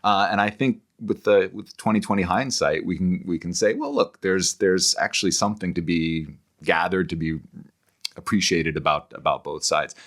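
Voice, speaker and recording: Man, very deep pitch, scholarly guest, halting delivery, repeated words, thoughtful discussion. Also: a bit bright. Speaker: interview guest, scholar and author. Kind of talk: thoughtful intellectual conversation.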